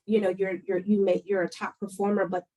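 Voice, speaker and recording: Measured woman moderating a panel. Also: medium pitch, little pitch movement, warm tone, poor audio. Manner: measured, explanatory, frequent fillers. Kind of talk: panel discussion.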